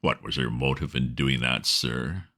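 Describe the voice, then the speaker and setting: A man voicing a calm, deferential valet who speaks in a measured, grave manner in a storybook reading. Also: extremely low pitch, pitched below the performer's own voice, full character voice. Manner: measured, grave, soft-spoken.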